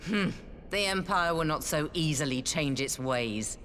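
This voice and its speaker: Shrewd war leader from a game, deep voice, lots of hall reverb. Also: female voice, lively intonation, unhurried, fairly bright tone. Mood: wary, calculating. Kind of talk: video game cutscene acting. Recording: long hall reverb, faint background noise.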